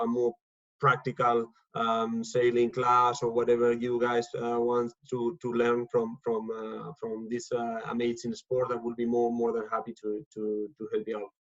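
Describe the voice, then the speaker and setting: A man from Argentina, speaking as a guest on a video call from home, halting and repeating words often. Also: medium pitch, monotone. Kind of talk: casual talk on a video call. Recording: dull lo-fi video call audio.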